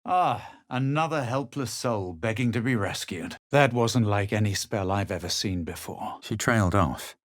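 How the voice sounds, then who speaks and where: A man acts out a haughty fantasy adventurer for a video game. He is measured and sure of his superiority, with a fairly low male voice. The sound is dry, with no room around it. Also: lively intonation, dry recording, natural voice. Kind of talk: video game character voice lines.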